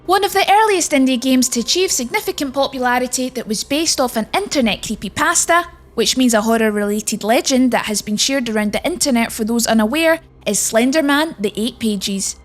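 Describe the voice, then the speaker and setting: A woman's voice: high voice, expressive, brisk, faint music behind. A woman narrating a scripted video game list as its presenter.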